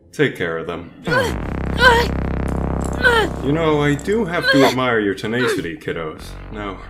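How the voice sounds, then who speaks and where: An actor playing a cold, taunting villain who controls minds, in an amateur superhero audio drama. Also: male voice, mid-range voice, big pitch swings, takes it slowly, background noise. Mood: smug, taunting. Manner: taunting, mock-admiring. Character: gloating cold male mind-controlling villain.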